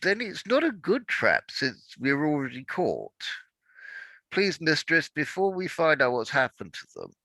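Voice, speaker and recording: A man's voice: on the high side, huge pitch swings, unhurried, bright, forceful tone, dry recording, pitched above the performer's own voice, exaggerated voice, poor audio. A man voicing a resolute young slave woman in a story reading, firm, urgent and protective. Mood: insistent. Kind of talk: audiobook character voice.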